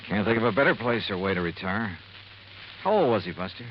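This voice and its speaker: An actor playing a brisk, wry insurance investigator in a 1950s radio detective drama, clipped and dramatic. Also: male voice, lowish voice, sing-song, slight background noise. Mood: relaxed, attentive. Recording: dull vintage radio recording with music.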